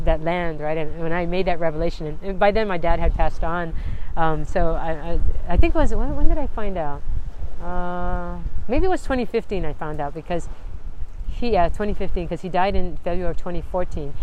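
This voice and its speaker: Middle-aged woman, a dean being interviewed; lowish voice, keen and chatty, keeps asking "right?". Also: a little mellow, a little hiss or hum, low-quality recording. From Hawaii. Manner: eager storyteller, keeps checking in with "right?". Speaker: college dean and history researcher. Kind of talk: casual interview chat.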